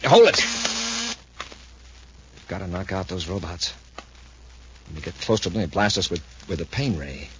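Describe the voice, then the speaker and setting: A man's voice: low voice, animated, moderate pace, pauses often, fairly bright tone. A man playing a tough, decisive space patrol commander with urgent authority, in 1950s radio acting on a muffled, noisy recording.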